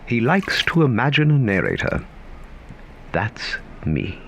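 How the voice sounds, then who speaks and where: Casting a theatrical, whimsical storyteller man, extremely expressive melody, deliberate emphasis, radio drama narration over noticeable ambience. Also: mid-range voice, vocal fry, a little hiss or hum, exaggerated voice. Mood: whimsical, knowing.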